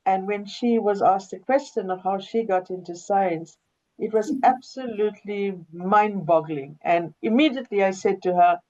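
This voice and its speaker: A woman hosting a webinar, introducing her guest in a measured way with many pauses, over a video call. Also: medium pitch, dark tone, dull audio. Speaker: host.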